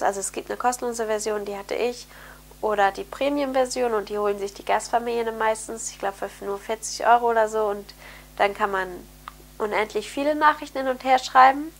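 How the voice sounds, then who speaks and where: Young woman, nasal with a cold, slightly hoarse, creaky edge, chatty upbeat vlog talk, bedroom, camera mic. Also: a little high, steady tone, fairly quick. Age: young adult. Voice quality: slightly hoarse, throat clearing. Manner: chatty, upbeat, many fillers. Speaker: vlogger, au pair. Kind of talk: casual chat to camera. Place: bright bedroom indoors. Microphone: camera mic at close framing.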